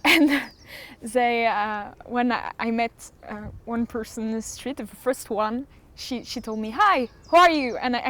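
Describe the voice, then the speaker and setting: Slow, high-pitched young Frenchwoman, hesitant speaker. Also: fairly bright tone. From south of France. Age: young adult. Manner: hesitant, searching for words, giggly. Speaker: exchange student interviewee. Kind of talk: casual interview answers.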